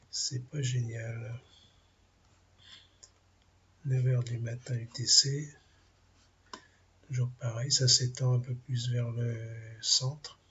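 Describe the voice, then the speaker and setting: A man with a French accent talks slowly through a weather briefing, his voice rough and gravelly and thick with a head cold. He pauses often, hunting for words, and the recording sounds dull and lo-fi. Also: medium pitch, fairly bright tone, slight background noise. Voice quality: gravelly, husky. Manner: halting, many fillers, thinking aloud over weather maps. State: has a cold, clears throat. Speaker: amateur weather forecaster.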